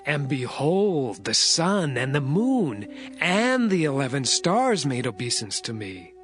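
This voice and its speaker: A man's voice: mid-range voice, sing-song, slow, fairly bright tone, soft background music. A man playing an earnest teenage dreamer in a Bible drama, speaking in a declamatory way. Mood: excited, wondering. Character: earnest seventeen-year-old dreamer boy. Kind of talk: dramatized Bible audio acting.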